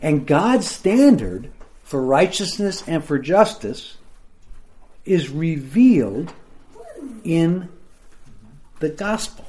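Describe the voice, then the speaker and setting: A man's voice: a little high, very animated, slow pace, long pauses, darkish tone. A man teaching the Bible to a group in a room, explaining in a plain, conversational way and often checking in with his listeners.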